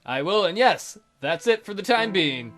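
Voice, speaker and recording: A woman's voice: mid-range voice, animated, moderate pace, fairly bright tone, soft background music, close to an everyday voice. A woman playing a weary, blunt detective questioning suspects in a visual novel. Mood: deadpan, businesslike.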